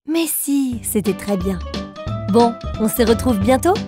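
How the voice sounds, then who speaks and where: A woman's voice: a little high, expressive, measured pace, over music. A woman playing a patient, encouraging mother figure who tells riddles to young children in a cartoon.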